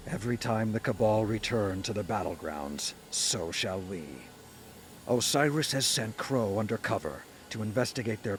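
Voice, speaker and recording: Casting: a steady commander, lowish voice, faint ambient hiss. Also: male voice.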